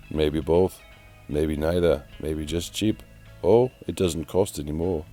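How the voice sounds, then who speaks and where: A man's voice: extremely low pitch, darkish tone, heavily acted. A man voicing a flamboyant, theatrical announcer who muses grandly and teasingly in a mock play-by-play for an online video. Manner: grandiose, drawn-out, musing aloud, mock-dramatic.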